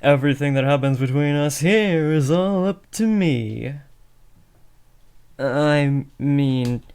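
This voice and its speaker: A man's voice: a little high, slow pace, darkish tone, clear, resonant tone. A young man playing an awkward, lovestruck teenage would-be supervillain in a comedic audio roleplay, switching between theatrical menace and hesitant rambling. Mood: casual, rambling. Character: awkward lovestruck teenage boy, aspiring supervillain, eager, insecure. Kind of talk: comedic roleplay audio drama addressed to the listener.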